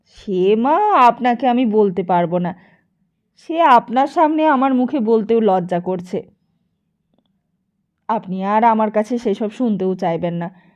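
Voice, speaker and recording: A woman voicing a smooth-talking, meddling gentleman who moralises and flatters in an audiobook. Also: a little high, measured pace, long pauses, dull audio. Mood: feigned indignation. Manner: ingratiating, moralising.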